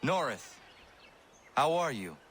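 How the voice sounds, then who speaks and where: An actor playing a calm, earnest young male assassin in a video game, with measured, formal speech. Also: mid-range voice, a little hiss or hum. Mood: calm, polite. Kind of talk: video game cutscene acting.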